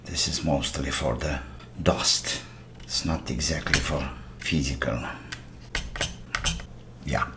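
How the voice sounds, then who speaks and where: Slow, low-voiced man muttering at a workbench. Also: fairly even intonation, noisy background. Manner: slow, muttered remarks to himself between tasks. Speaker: repair channel host. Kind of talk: quiet workshop commentary while repairing.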